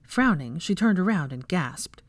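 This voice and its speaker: A woman reading fan fiction aloud as narrator, in expressive scripted storytelling. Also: lowish voice, measured pace, no room sound.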